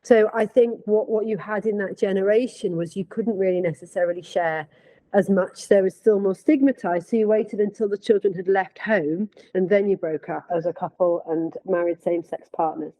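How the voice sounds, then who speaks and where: Mellow, reflective woman from Manchester. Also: medium pitch, fairly even intonation, moderate pace, no room sound, rough recording. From Manchester, England. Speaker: parent guest. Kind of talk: podcast discussion.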